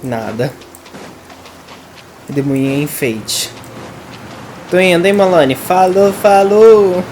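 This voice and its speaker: A woman's voice: fairly low pitch, measured pace, darkish tone, slight background noise. A woman with a Brazilian Portuguese accent doing casual, playful game commentary in a joking, slangy way. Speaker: gaming YouTuber. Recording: game music behind the voice.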